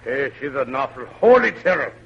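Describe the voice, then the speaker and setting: A man's voice: mid-range voice, sing-song, normal speed, vocal fry, breathy edge, exaggerated voice. An actor playing a brash, harried policeman with blunt, ungrammatical folksy talk, in 1940s radio comedy style. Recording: dull, noisy old radio recording.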